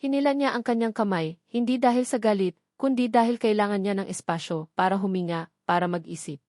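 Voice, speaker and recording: A woman's voice: mid-range voice, fairly quick, a little mellow, dry recording, studio quality. A woman narrator reading a scripted romantic drama in a storytelling style.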